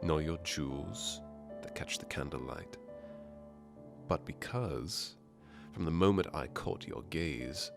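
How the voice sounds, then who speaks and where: Very deep, charming masked nobleman played by a man. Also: slow pace, gravelly, music in the background, full character voice. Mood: tender, admiring. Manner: suave, playful, courtly phrasing with soft hesitations. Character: charming teasing masked nobleman courting a princess. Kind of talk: ASMR romantic roleplay acting.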